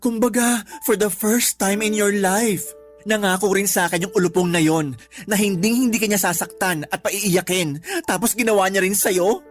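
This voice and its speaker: Casting: actress as fiery, protective woman cousin, mid-pitched, sassy and blunt, radio drama with music bed. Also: normal speed, close to an everyday voice. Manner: indignant, emphatic, sassy. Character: feisty, protective female cousin, sharp-tongued and loyal. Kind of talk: Tagalog radio drama acting. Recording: music under the voice.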